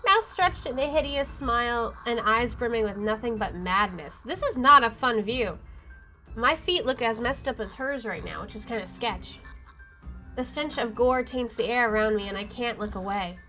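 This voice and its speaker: A woman's voice: fairly high pitch, lively intonation, moderate pace, bright, forceful tone, rough recording. A woman reading a horror visual novel aloud as she plays, in dramatic first-person narration. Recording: faint game music behind the voice. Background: faint game music.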